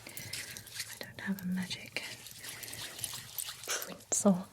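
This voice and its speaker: A woman in a whispered ASMR roleplay, voicing a gossipy, envious female beautician at a spa for the undead, speaking in a soft unvoiced whisper into a close microphone. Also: lowish voice, completely flat delivery, extremely slow. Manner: chatty and nosy.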